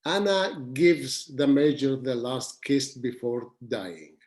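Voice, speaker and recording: Man, interviewer, mid-range pitch, halting, pausing speech. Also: expressive, darkish tone. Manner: halting, pauses mid-sentence. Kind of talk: interview questions.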